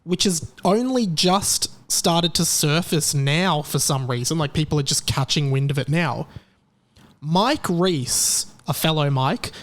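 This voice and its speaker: A man hosting a podcast, talking in a chatty, excitable way with lively jumps in his voice. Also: a little high. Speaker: podcast host. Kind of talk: casual chat.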